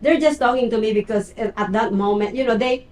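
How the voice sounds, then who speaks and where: A woman with a Filipino accent, hosting a casual interview, chatty and halting as she repeats words while searching for what to say. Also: fairly high pitch, a little mellow, a little hiss or hum. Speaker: host. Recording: roomy echo.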